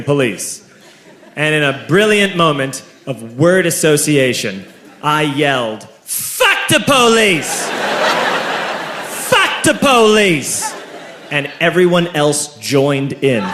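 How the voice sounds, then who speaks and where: A man telling a long story as a stand-up comedian, talking casually and building to shouted punchlines in front of a live audience. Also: on the high side, background noise. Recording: echoey club with audience laughter.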